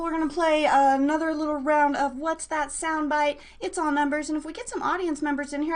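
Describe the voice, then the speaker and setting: An American woman hosting a casual live show in a bubbly, chatty way with playful wording. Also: high-pitched. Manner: bubbly, playful word coinages. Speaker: radio show host.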